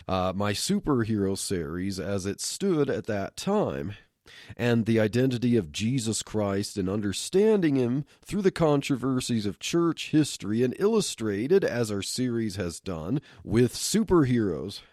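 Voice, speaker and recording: A man's voice: medium pitch, darkish tone, dry recording, studio quality. A man hosting a podcast, speaking conversationally in a solo introduction while getting over a head cold. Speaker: podcast host.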